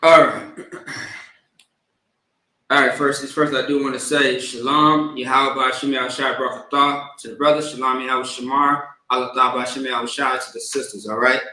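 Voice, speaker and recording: A man's voice: medium pitch, level tone, moderate pace, sharp tone. A man leading an online religious service as a priest, teaching and often checking in with short tag questions. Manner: repeatedly checks in with "all right?" and "okay?". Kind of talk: religious teaching, live-streamed sermon. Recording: echoey room.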